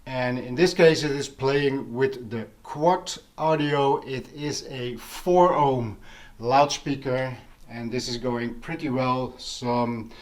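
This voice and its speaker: A man hosting a casual audio gear vlog, explaining things informally and pausing mid-sentence as he goes. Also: medium pitch. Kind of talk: casual informal product talk to camera.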